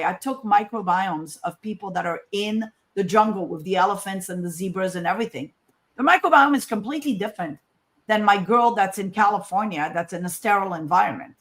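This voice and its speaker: Woman, from Morocco, emphatic conversational scientist, informal conference talk, rhetorical questions, medium pitch. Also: fairly bright tone.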